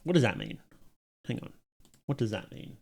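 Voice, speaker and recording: A man's voice: mid-range voice, huge pitch swings, normal speed, vocal fry, a little mellow, husky. Man casually commentating on a livestream. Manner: informal, thinking aloud, mildly sweary. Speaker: livestream host reacting to and judging short films.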